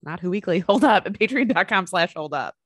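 A woman's voice: fairly low pitch, lively intonation, fairly quick, no room sound, clean studio sound. A woman co-hosting a podcast, chatting casually and jokingly about a film, with lots of fillers. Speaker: podcast co-host.

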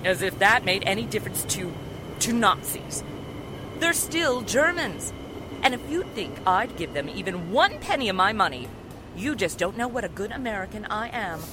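A haughty, self-righteous small-town housewife played by an actress in old-time radio style. Her mid-pitched voice swoops with emphasis, and there is clear street noise behind it. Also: big pitch swings, normal speed, close to an everyday voice. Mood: indignant, disdainful. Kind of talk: 1940s radio drama acting.

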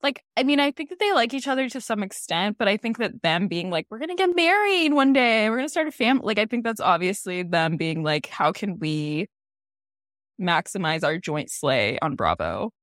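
A woman's voice: mid-range voice, normal speed, no echo. Chatty young woman gossiping, dry sound. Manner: animated gossipy rambling with many "like"s. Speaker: podcast co-host. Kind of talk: casual chat.

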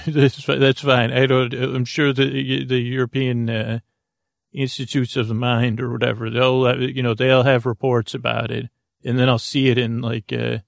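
A man hosting a bedtime podcast, talking in a creaky voice and wandering through tangents with many fillers. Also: medium pitch, no room sound, studio quality. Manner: meandering, full of tangents and fillers. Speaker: podcast host. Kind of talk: rambling bedtime podcast intro.

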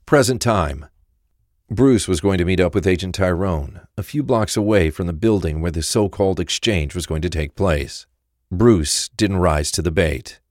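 Very deep-voiced male narrator, dry sound. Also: normal speed, a little mellow, dry recording. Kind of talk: scripted narration of a crime thriller.

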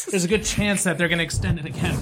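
A man's voice: high-pitched, steady tone, a little fast, noisy background. A man, a comedian, teasing his friends and laughing often in casual podcast banter.

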